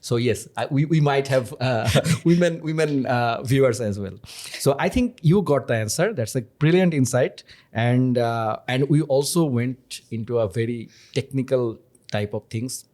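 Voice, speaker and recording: A man's voice: medium pitch, normal speed, darkish tone. A man from Bangladesh, Bangla his native language, hosting a casual chat, echoing his guest's points and stumbling over words now and then. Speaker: host. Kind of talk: casual podcast conversation.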